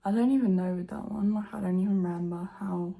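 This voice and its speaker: A teenage girl vlogging at home, her voice croaky from just waking up, talking softly and half-whispering. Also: medium pitch, fairly even intonation, fast. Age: teens. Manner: soft, half-whispered, nervous chatter. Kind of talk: casual to-camera vlog. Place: at home indoors.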